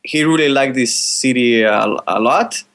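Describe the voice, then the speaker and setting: A man's voice: medium pitch, unhurried, fairly bright tone. Friendly male guest from Spain. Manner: hesitant, many fillers, friendly. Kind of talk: casual interview answers. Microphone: video call.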